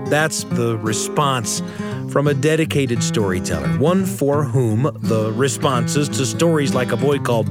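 Expressive man hosting, with very animated pitch. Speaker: radio show host and narrator. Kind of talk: scripted narration and studio conversation.

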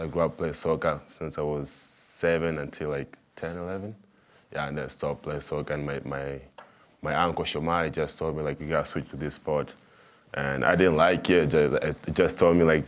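A young man from Tanzania with a Tanzanian accent, a basketball player answering interview questions in a soft, halting way. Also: a bass voice, flat delivery. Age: young adult. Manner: soft-spoken, halting, simple phrasing with fillers. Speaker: college basketball player guest.

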